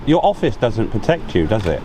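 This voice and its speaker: A man's voice: lowish voice, big pitch swings, brisk, mellow. Argumentative British man on a noisy street. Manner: argumentative, insistent, interrupting, repeats himself. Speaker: citizen activist filming a street encounter. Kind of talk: confrontational street debate. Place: outdoors on an urban street. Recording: noisy outdoor street with traffic.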